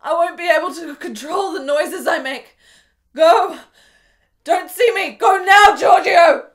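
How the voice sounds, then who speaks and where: A woman's voice: very high voice, takes it slowly. An actress playing a frail, lovesick, melancholy woman in a period radio drama, speaking in earnest, melodramatic, formal phrases. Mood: overwrought, desperate.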